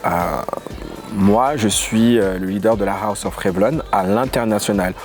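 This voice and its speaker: Lively low-voiced man, faint music. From Paris, of Congolese origin. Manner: lively and playful, with quick asides and English phrases. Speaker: guest, voguing dancer and house leader. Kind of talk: casual interview chat.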